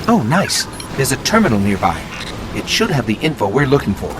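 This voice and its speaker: A man's voice: mid-range voice, big pitch swings, fairly quick, background noise, close to an everyday voice. A man voicing a small robot scout in a video game, inquisitive and wry, explaining things expressively. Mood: alert, curious. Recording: game audio with music behind.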